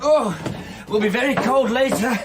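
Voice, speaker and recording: An actor playing a frightened, zealous street preacher in a radio drama, fretful and rambling, with biblical phrasing and repeated words. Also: male voice, extremely high pitch, moderate pace. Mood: fretful muttering. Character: frightened, zealous street preacher clinging to faith amid apocalypse. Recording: loud ambient noise behind the voice.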